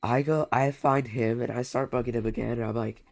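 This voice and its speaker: Congested young man with a gravelly voice. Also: medium pitch, fairly even intonation, a little fast. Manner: rambling, repeats himself, slangy. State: congested from a cold. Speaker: gaming YouTuber. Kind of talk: casual storytime commentary.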